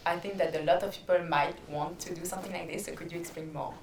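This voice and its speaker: Woman host, English, low-ish voice, off-mic in an echoey room with noise. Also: steady tone, fast, vocal fry. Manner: encouraging, frequent backchannel "mm-hmm". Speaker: interviewer and show host. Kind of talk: casual interview. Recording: roomy, distant, noisy.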